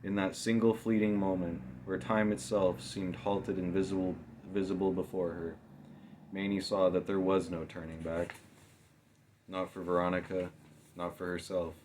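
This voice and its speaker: A man's voice: low-pitched, flat delivery, moderate pace, darkish tone, slight background noise. A man narrating a fantasy novel as a scripted, dramatic audiobook reading. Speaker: author narrating own novel.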